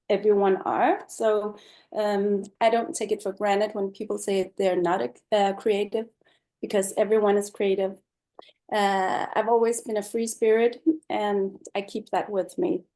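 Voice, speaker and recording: A woman's voice: medium pitch, steady tone, a little slow, mellow. A middle-aged woman from Denmark giving a presentation over a video call, speaking thoughtfully with many fillers. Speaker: guest speaker. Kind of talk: online presentation talk.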